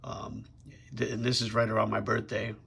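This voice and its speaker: A young man chats through a toy review while sick, and his voice comes out heavily raspy and gravelly. He talks in a loose, laid-back way, stopping and restarting often. His voice sits low. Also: monotone, moderate pace, fairly bright tone. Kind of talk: casual video review.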